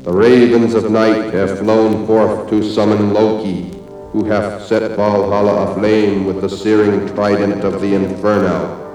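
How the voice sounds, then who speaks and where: A man's voice: fairly low pitch, little pitch movement, unhurried, dark tone. A man reading archaic occult prose in a solemn, portentous, oratorical way. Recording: very echoey, distant, music underneath. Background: music.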